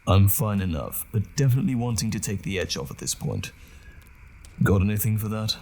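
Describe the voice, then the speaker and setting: A roguish haggler from a fantasy audio drama, acted by a man, weary from battle but cheeky. His voice is creaky and gravelly, swinging widely in pitch as he teases with wry gallantry. Faint noisy ambience runs underneath. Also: lively intonation, brisk, dark tone. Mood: wry, pained. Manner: wry, teasing banter.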